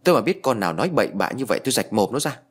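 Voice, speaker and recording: A man's voice: mid-range voice, big pitch swings, very fast, breathy edge. A man voicing a hot-tempered, foul-mouthed old village wife who scolds and laments with raw emotion in an audio story. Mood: angry, brusque.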